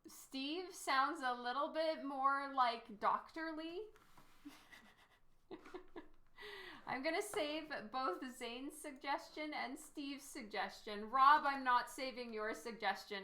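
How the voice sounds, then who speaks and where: A woman's voice: high-pitched, slow, sharp tone. A woman artist casually chatting with stream viewers while she paints, giggling often and answering their questions.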